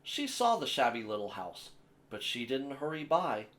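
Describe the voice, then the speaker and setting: Guy doing story time, reading a kids picture book, expressive and measured, medium pitch. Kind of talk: read-aloud children's picture book narration.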